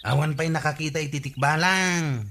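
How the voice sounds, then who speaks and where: Man acting a skeptical Ilocano villager, highish voice. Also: normal speed, a bit bright, a little hiss or hum, close to an everyday voice. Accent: Filipino, Ilocano. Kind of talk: Ilocano radio comedy drama acting.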